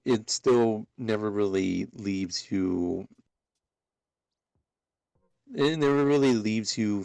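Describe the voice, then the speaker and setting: A man giving casual commentary in a halting way, often repeating his phrases. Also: sits low, vocal fry, darkish tone, no echo.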